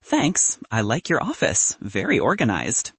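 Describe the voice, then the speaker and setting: A man's voice: mid-range voice, wildly swooping pitch, measured pace, fairly bright tone, husky, dry recording, full character voice. A man voicing a young woman physicist in an audiobook, confident and frank, with a dry, advice-giving manner. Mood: wry, candid.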